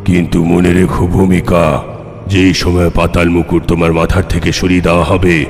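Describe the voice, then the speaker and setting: Man voicing a very deep, ominous underworld guardian. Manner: solemn, instructive, foreboding. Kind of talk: dramatic dubbed cartoon dialogue. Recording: long hall reverb, faint noise.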